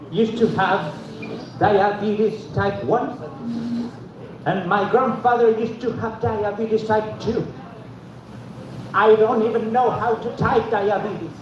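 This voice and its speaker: A man from Argentina, doing a deadpan, self-deprecating open-mic stand-up set and talking back to the audience. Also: high voice. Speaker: stand-up comedian. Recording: echoey room from far off, dull, noisy.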